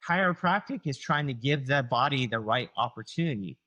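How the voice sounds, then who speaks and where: A chiropractor in his forties with a Southern US accent, speaking at an ordinary middle pitch. He explains things conversationally, dropping "like" and "you know" as he goes and slipping into patients' voices as quotes. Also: expressive, a little fast, pushed and bright, dull audio. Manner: explains with casual fillers, acts out patients' quotes. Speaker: chiropractor guest.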